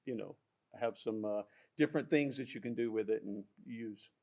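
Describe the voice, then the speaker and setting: Man explaining speaker specs with halting fillers. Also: fairly low pitch, darkish tone. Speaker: audio product specialist. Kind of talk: technical product discussion.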